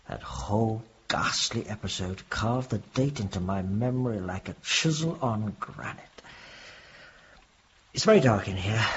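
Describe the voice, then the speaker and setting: An actor playing a kindly, talkative elderly clergyman in a radio drama, with a husky voice and courtly, old-fashioned speech. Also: fairly low pitch, lo-fi sound, slight background noise. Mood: grave. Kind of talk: theatrical radio drama acting.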